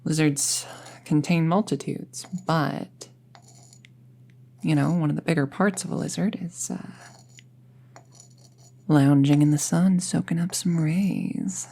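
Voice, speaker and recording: Low, husky young witch, played by a woman. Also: steady tone, slow, pauses often, full character voice. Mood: dreamy, amused. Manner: casual, musing, softly breathy, often near a whisper. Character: friendly, chatty young apprentice witch, laid-back and whimsical. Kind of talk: intimate roleplay audio, soft rambling monologue.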